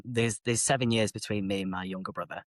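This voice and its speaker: A middle-aged man speaks openly and reflectively about his life as a podcast guest. His voice sits on the low side, and the recording is dry and close. He circles back and restarts phrases as he talks. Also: steady tone, quick pace, dry recording. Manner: open, reflective, chatty, self-correcting. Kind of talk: candid personal storytelling.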